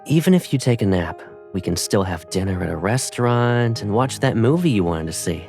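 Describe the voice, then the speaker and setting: A devoted young boyfriend on a phone call in a romance game. His voice sits a bit low and moves expressively as he gently reassures his partner. Soft music plays behind him. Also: lively intonation, brisk, vocal fry. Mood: gentle, reassuring.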